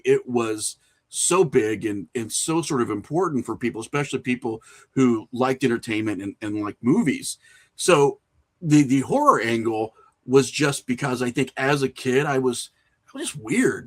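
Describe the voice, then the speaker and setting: A middle-aged man talking casually and reflectively as an interview guest, telling things in an informal, anecdotal way with a bit of chuckling. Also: mid-range voice. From small rural town. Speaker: podcast guest, horror filmmaker and writer.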